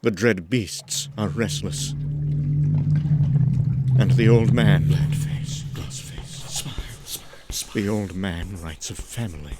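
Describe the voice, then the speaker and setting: A man performing a haunted, horror-struck narrator of eldritch horrors in a theatrical, deliberate gothic style. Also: lowish voice, very noisy. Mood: grim dread. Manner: theatrical, deliberate, swinging from hushed whispers to anguished cries.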